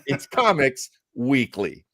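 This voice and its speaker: Casual man hosting, with lively pitch swings. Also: no room sound. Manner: rambling, halting delivery. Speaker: radio show host. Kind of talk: casual chat introducing old radio shows.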